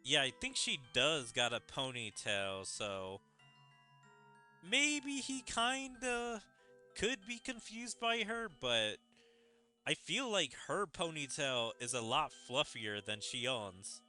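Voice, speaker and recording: A man's voice: a little high, sing-song, slow, sharp tone, faint music behind. A man giving casual game commentary as a streamer, musing and speculating as he goes. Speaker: gaming streamer.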